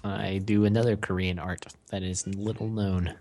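A man's voice: sits low, little pitch movement, normal speed, darkish tone. A man co-hosting a casual podcast chat, joking in a dry, wry way. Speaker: podcast co-host.

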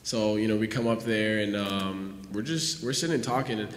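A man's voice: on the low side, normal speed. A young male student telling his side of a story casually, with lots of fillers. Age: young adult. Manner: casual, with many fillers like "you know" and "like". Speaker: student tour guide. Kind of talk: casual testimony. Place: large worship hall. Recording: echoey hall with faint noise.

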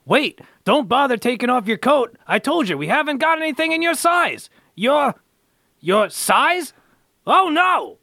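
A man's voice: extremely high pitch, big pitch swings, measured pace, pushed and bright, dry recording, clean studio sound, heavily acted. A man playing an anxious, melodramatic townsman in an amateur superhero radio play. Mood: alarmed. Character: excitable, anxious comic-book men: shopkeeper, policeman.

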